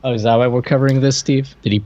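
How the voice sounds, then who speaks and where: A man's voice: medium pitch, steady tone, fast, darkish tone. A man co-hosting a podcast, chatting casually, on a slightly muffled recording. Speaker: podcast co-host. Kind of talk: casual chat about cartoons. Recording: slightly dull, lo-fi microphone sound.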